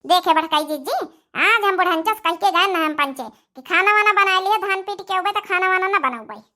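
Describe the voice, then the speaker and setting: A woman's voice: extremely high pitch, expressive, brisk, sharp tone, heavily acted. A woman voicing a cheeky, defiant younger village daughter-in-law in a comedy cartoon, with an Awadhi accent. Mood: smug. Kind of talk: comedy cartoon family quarrel.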